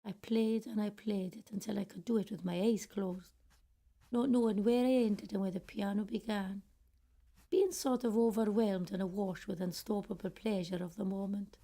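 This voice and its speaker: Radio drama: female actor as middle-aged British woman, reflective, regretful, soft dark tone, mid-range pitch. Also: fairly even intonation, normal speed, lightly acted. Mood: wistful, nostalgic. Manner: reflective, confiding storytelling. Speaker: actor in a student radio play. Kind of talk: first-person radio drama monologue.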